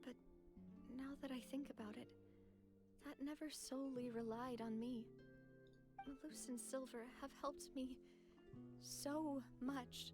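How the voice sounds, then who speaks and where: A woman's voice: sits high, fairly even intonation, a little slow, long pauses. A woman playing a sincere, polite young noblewoman who leads others, in a video game with music behind her. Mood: sorrowful. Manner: polite, earnest. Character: young noblewoman leader, sincere and grieving, resolute. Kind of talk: English game voice acting.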